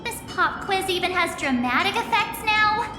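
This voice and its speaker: A woman's voice: extremely high pitch, animated, normal speed. A woman voicing a perky, curious girlish heroine in a fantasy game, cute and playful. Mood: playful surprise. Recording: echoing hall, music behind.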